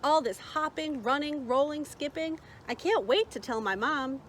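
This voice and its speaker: A woman voicing a cheerful, excited little male chick in a read-aloud picture book. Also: high voice, moderate pace, slight background noise, pitched above the performer's own voice.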